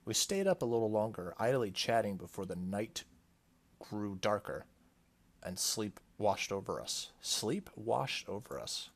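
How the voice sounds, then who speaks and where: A man's voice: lowish voice, very animated, a little slow. A man hosting a gaming video, reading the game's text aloud and breaking off into casual asides. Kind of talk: playthrough commentary mixed with reading game text aloud. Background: game music behind the voice.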